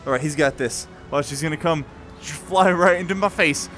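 A young man gives casual, excited commentary as he plays a video game, playful and full of exclamations. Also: on the high side, moderate pace, slight background noise. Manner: playful, exclamatory, talks along while moving in a VR game. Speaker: gaming video host.

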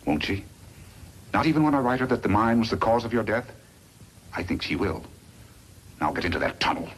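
Low-voiced, animated western villain. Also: male voice, brisk, slightly rough. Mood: smug menace. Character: scheming, greedy, smug male mine-manager villain. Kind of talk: 1950s western radio acting. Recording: noisy old 1950s radio recording.

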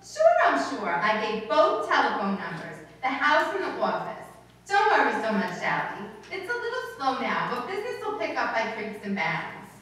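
A woman's voice: a little high, wildly swooping pitch, normal speed, a bit bright. A woman playing a chirpy, bossy, scatterbrained housewife in an old-time radio comedy. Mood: busy, confident. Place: indoor hall stage before seated audience. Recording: roomy, distant stage recording, faint noise.

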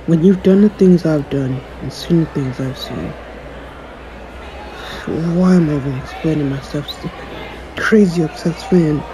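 A man's voice: fairly high pitch, unhurried, long pauses, background noise, natural voice. A man playing a cocky, jaded young superhero in an audio roleplay, talking in a dry, sarcastic, aloof way. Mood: aloof, smug. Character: jaded, cocky young male superhero, dismissive of his fan. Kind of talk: audio roleplay acting, one-sided conversation.

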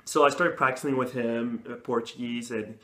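A young man sits on a living room couch as the guest in a relaxed chat. His voice sits at an ordinary mid pitch, and he talks with friendly enthusiasm, filling pauses with lots of "uh" and "like.". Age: young adult. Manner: friendly, enthusiastic, many fillers. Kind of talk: casual interview chat.

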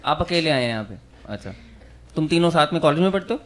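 High-voiced man roasting a comedy crowd. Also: animated, fairly bright tone. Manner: teasing, quick banter with audience. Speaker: stand-up comedian. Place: comedy club stage with a live audience. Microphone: handheld mic.

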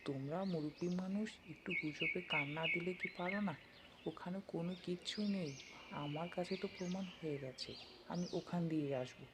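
A woman's voice: lowish voice, fairly quick, heavy background noise, natural voice. A woman playing a stubborn, fearless young grandson in a folk-tale cartoon, insistent and argumentative. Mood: defiant.

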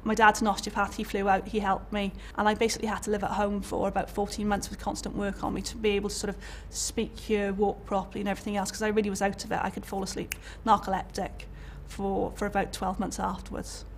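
Welsh woman lecturer chatting away in an interview, lots of ums and restarts, mid pitch, faint room hum. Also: level tone, quick pace, few pauses. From Wales. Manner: chatty, candid, many fillers and restarts. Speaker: college lecturer. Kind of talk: career interview. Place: indoor college room.

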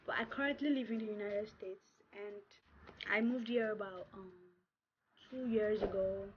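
A woman's voice: mid-range voice, steady tone, normal speed. A young woman from Nigeria with a Nigerian accent, chatting casually to camera as a vlogger, stressing points by repeating words. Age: young adult. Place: small room indoors. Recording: dull lo-fi sound, clear background noise.

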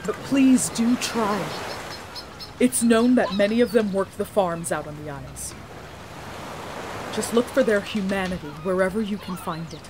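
Earnest fantasy heroine, woman actor: mid-pitched, formal archaic diction, measured, clear background noise. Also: close to an everyday voice. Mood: solemn, earnest. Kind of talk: fantasy audio drama acting.

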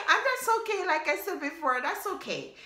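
Brief: Jamaican woman, 30s, chatty phone vlog, bright lively voice, echoey kitchen. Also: high-pitched, moderate pace. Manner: chatty, animated, laughs often, repeats "yes, guys". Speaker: vlogger. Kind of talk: casual talk to camera. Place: small home kitchen indoors. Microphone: phone camera at arm's length.

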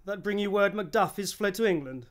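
A man's voice: high-pitched, lively intonation, a little fast. An actor playing a courtly, deferential nobleman addressing his king in a Shakespearean radio drama. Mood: dutiful.

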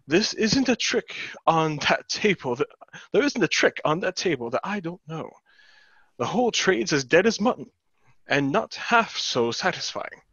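A man's voice: a little high, very animated, moderate pace, a bit bright, slightly rough, no echo, full character voice. A young man in a play reading, acting a hot-headed, proud, indignant young businessman, recorded on a headset. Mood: scornful. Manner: brash, indignant. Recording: dull headset video-call audio.

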